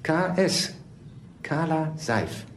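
An actor playing an earnest, odd, obsessive suspect in a crime radio drama, halting and insistent, in an echoing room. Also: male voice, a little high, animated. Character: earnest, odd, obsessive young murder suspect with mother fixation. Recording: echoing room, faint background noise.

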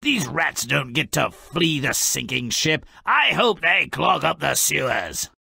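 An actor playing a gleefully malicious, sardonic little fairy-tale troublemaker in a video game, with a raspy, gravelly voice and a theatrical, gloating delivery. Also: male voice, fairly high pitch, expressive, a little slow, dry recording, clean studio sound, heavily acted. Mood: gleeful, loud. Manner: sardonic, gloating, theatrical, swings from murmur to shout. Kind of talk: theatrical scripted game narration.

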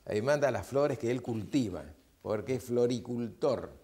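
A man's voice: medium pitch, moderate pace, dark tone, breathy edge. An older man with an Argentine Spanish accent hosting a TV matchmaking show, coaxing and playful, with short repeated questions. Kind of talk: studio interview and address to camera. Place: TV studio set. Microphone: lapel.

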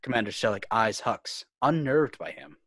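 A man with a mid-pitched voice narrates a screenplay's stage directions. His delivery is measured and clipped, recorded close with almost no room sound. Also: fairly bright tone, slightly rough, no room sound. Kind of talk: scripted narration in a fan table read.

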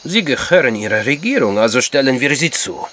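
Commanding male secret agent, very expressive pitch actor. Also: fairly quick, noisy background, natural voice. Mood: cool, guarded. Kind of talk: crime radio drama acting.

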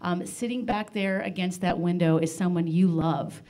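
A woman's voice: fairly low pitch, steady tone, normal speed, dark tone. A woman hosting a live radio show before an audience, with an effusive, playful manner. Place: live broadcast in library meeting room. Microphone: stage microphone.

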